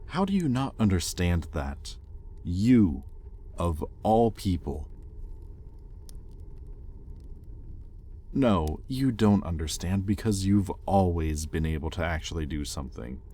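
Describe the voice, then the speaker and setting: A man's voice: deep voice, sing-song, measured pace, exaggerated voice. A man playing a bitter, conflicted demon in a roleplay audio drama, speaking to his captive with brooding intensity. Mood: frustrated. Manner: brooding, intense, swinging between hushed confession and heated accusation. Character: bitter, conflicted male demon, possessive owner of a captive angel. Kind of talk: scripted roleplay audio acting, addressed to the listener.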